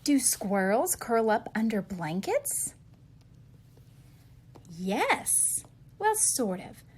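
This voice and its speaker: Slow, very expressive woman reading to children. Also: fairly high pitch, breathy edge. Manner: expressive question-and-answer delivery, carefully paced for children. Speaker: read-aloud reader for young children. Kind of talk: children's picture book read-aloud.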